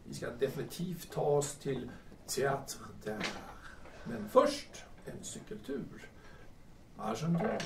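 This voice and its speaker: An actor in an audio drama playing a boastful, cantankerous young absinthe-drinking poet with halting, repetitive, bombastic delivery. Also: male voice, fairly high pitch, sing-song, gravelly. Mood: eager. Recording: roomy, distant mic, faint noise.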